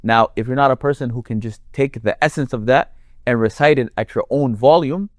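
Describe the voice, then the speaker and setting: A man with a deep voice, a Quran reciter explaining his craft in a conversational interview, building his points with long worked examples. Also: a little fast, no room sound, clean studio sound.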